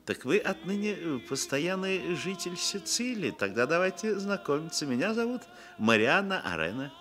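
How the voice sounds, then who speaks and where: Sly, animated old Sicilian landowner. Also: male voice, on the high side, normal speed, faint music behind. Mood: affable, probing. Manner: chatty, hesitant, chuckling, insinuating. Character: genial, sly Sicilian landowner of about sixty, veiled menace. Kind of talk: Soviet radio drama acting. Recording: noisy vintage radio drama recording.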